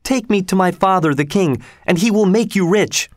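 A man's voice: sits high, normal speed, no echo, clean studio sound, voice pushed up, natural voice. A man reading aloud, voicing a proud, indignant young prince in a storybook with a raised, insistent delivery.

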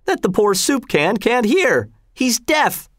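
Man as a high-pitched, rowdy village heckler. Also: very animated, slow pace, no echo, clean studio sound, heavily acted. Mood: gleeful jeering. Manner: mocking shouts. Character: jeering, mischievous commoner heckler in a crowd. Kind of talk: storybook character voice.

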